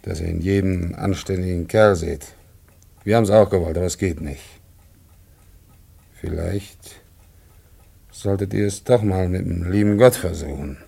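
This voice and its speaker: An actor playing a bitter, weary old communist father in a serious radio drama, brooding and declamatory, with a gravelly voice. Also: low voice, unhurried, pauses often, warm tone, full character voice. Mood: bitter, resigned. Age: older.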